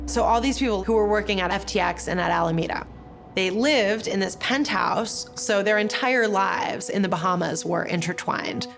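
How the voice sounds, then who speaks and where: A woman journalist explaining events in a measured documentary interview. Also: mid-range voice, creaky. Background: documentary score music.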